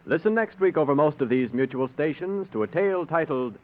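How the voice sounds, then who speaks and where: A man's voice: a little high, lively intonation, brisk. A man announcing for a radio network in a formal 1940s broadcast style. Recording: tinny old radio recording.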